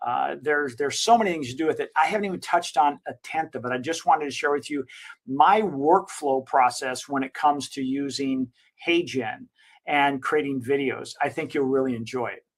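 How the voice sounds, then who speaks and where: A man who owns a digital marketing agency, giving an enthusiastic, rambling software walkthrough and correcting himself as he goes. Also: moderate pace. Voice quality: slightly higher-pitched voice. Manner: enthusiastic, recommending. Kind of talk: casual screen-share software walkthrough.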